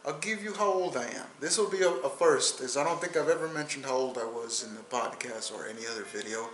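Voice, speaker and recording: A man's voice: medium pitch, fast, a bit bright. Rambling young man in an echoey room. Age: 20s. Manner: rambling, repeats words and restarts. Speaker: podcast host. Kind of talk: casual solo podcast monologue.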